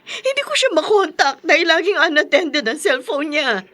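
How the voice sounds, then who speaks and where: A woman's voice: high-pitched, big pitch swings, normal speed, pushed and bright, exaggerated voice. An actress playing an anxious, fretful mother in a Filipino radio drama, with hesitant, melodramatic delivery. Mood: anxious, tearful.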